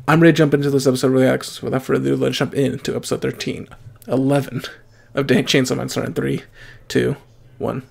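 Young guy rambling thru a reaction vid at his desk, gravelly voice, lots of uhs and ums. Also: mid-range voice, flat delivery, quick pace, a little mellow, slight background noise. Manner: chatty, rambling with fillers and restarts. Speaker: anime reaction channel host. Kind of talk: casual reaction commentary. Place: small room at home, indoors.

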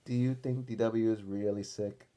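A young man reading a picture book aloud to children like a teacher, pausing to ask questions. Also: on the low side, fairly even intonation, dark tone. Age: young adult. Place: small room indoors.

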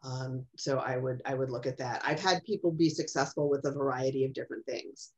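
A middle-aged woman with a strong voice explaining things in a measured way as a specialist on a webinar panel. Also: a bass voice, steady tone. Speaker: speech-language specialist on a panel. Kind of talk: webinar question-and-answer. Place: small office, indoors. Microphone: video call. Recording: dull video-call audio.

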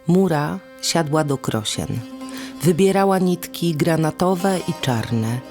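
A woman narrating a literary folktale as a storyteller, in lyrical, measured phrasing. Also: low voice, animated, darkish tone, soft background music.

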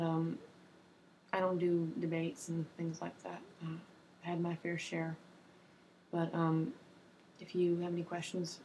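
A woman in her twenties with a hoarse voice from a cold, reading softly in an ASMR video at her desk. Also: lowish voice, a little hiss or hum, rough recording. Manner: soft-spoken, slow and even, with ums and uhs. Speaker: ASMR creator. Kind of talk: soft-spoken ASMR reading of a personal testimony. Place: small home office indoors.

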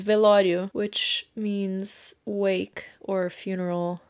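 Chatty young woman narrating her makeup. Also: medium pitch. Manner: chatty, explains each step as she paints. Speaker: makeup artist.

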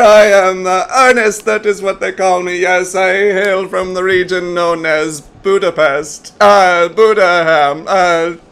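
Eager non-human pretending to be human, high clear voice, super formal and literal, audio comedy. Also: male voice, a bit bright, heavily acted. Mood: nervously formal. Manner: formal, pedantic, literal-minded. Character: eager, stilted non-human newcomer posing as a human. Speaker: new pupil in an online acting class. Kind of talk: comedy audio drama acting.

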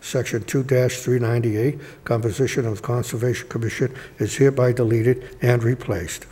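A man reading agenda items aloud at a city council meeting, in a steady, measured recitation at a desk microphone in a wood-paneled chamber. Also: mid-range voice. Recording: reverberant chamber with faint hiss.

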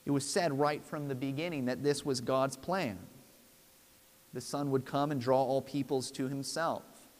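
A man in his thirties preaching a sermon from a church pulpit in an earnest, emphatic, deliberate way. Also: mid-range voice, steady tone. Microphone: lapel mic. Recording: long hall reverb.

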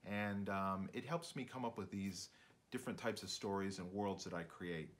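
A man's voice: low-pitched, normal speed. A man in his fifties, a children's author, speaking informally and reading storybooks aloud at home in his book-lined studio.

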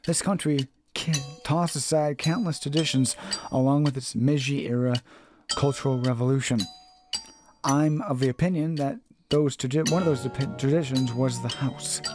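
A man's voice: medium pitch, fairly even intonation, normal speed, music in the background. A man reading a visual novel aloud as dramatic narration, often stumbling and rereading his lines.

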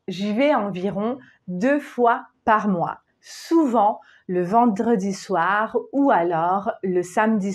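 Woman in her thirties, teacher, slow deliberate delivery, slightly high voice, lapel mic. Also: a little mellow. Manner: patient, deliberate, reads model answer slowly. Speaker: French teacher. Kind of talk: slow, clear exam-prep lesson. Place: small plain indoor room.

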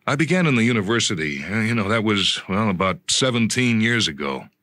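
A man performs an aging male athlete in an audio story, earnest and restless. The voice is low and bright, halting and tentative, recorded dry. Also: very animated, no echo. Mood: earnest, hesitant.

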